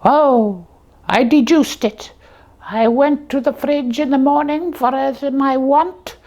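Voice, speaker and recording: A woman's voice: sits high, slow, darkish tone. A woman voicing a hungry young talking slipper who plays detective, telling the tale with pompous earnestness in a comic puppet show. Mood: self-important.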